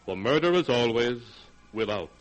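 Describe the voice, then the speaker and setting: An actor in the role of an ominous, moralizing narrator of 1940s radio horror, solemn and dramatic. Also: male voice, medium pitch, lively intonation, moderate pace, close to an everyday voice, rough recording. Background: faint music.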